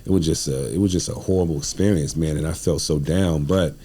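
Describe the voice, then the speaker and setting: A man in his forties with a very deep voice and a dark, mellow tone. He tells stories as a podcast guest in a casual, informal way. His delivery is earnest and street-inflected. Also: fairly even intonation, brisk, slightly rough. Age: 40s. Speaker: playwright and producer, podcast guest. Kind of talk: casual interview storytelling.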